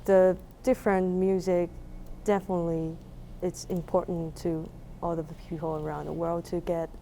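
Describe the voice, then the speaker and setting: A woman's voice: on the low side, level tone, normal speed, creaky, mellow, a little hiss or hum. A young woman from Taiwan with a Taiwanese accent, answering interview questions casually and searching for words as she goes. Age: young adult. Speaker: musician being interviewed.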